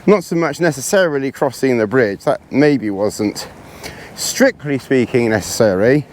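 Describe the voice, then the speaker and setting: Hoarse, slow-talking man on a hike. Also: medium pitch, animated, slight background noise. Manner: rambling, self-deprecating, chatty. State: hoarse and congested. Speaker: vlogger. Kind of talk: casual chat while hiking. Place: outdoors on windy hills.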